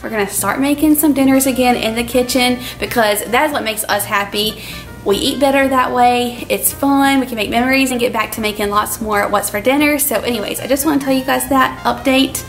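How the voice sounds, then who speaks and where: Higher-pitched woman chatting through a home recipe. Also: a little fast, faint music behind. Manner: chatty, informal, laughs easily. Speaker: host of a home cooking vlog. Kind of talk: casual cooking narration and chat. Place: home kitchen.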